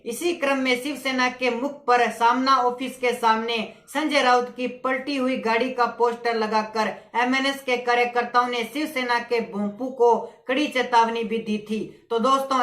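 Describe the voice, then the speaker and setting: A woman's voice: on the high side, fairly bright tone, roomy sound. A woman presenting scripted political news in an emphatic, dramatic newsreader style.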